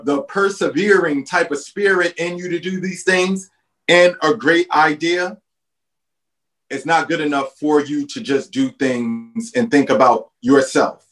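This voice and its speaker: Earnest man with a slightly higher voice. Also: a bit bright, poor audio. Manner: earnest, persuasive, asks rhetorical questions. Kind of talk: casual conversation.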